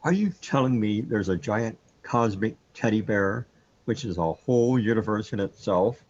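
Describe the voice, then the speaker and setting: Deep, husky, brooding man, played by an actor. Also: animated, moderate pace, darkish tone. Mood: bewildered. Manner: brooding, hesitant, questioning. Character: troubled, self-doubting man trapped alone in a surreal universe. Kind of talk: surreal sci-fi radio drama monologue. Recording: dull, lo-fi audio.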